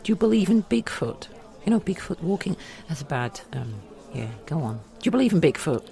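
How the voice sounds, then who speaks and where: A podcast co-host with a croaky throat, chatting casually and asking skeptical, teasing questions. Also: medium pitch, big pitch swings, a little fast, warm tone, faint music behind. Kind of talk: casual chat.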